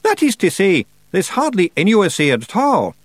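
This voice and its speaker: A man's voice: sits high, wildly swooping pitch, moderate pace, no room sound, pitched above the performer's own voice, heavily acted. A man voicing a small, cheeky male pixie who answers nervously and evasively. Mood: wheedling. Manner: shifty, evasive.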